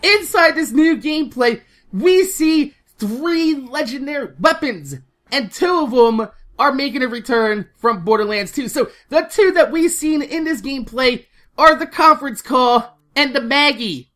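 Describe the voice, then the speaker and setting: A woman hosting a gaming video, giving casual, animated news commentary with informal talk and plenty of swearing. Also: high voice, measured pace.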